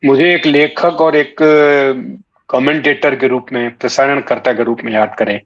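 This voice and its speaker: A man, giving measured, reflective interview answers phrase by phrase. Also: medium pitch, steady tone, dull audio. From India. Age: 60s. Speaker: interview guest, writer and former broadcaster.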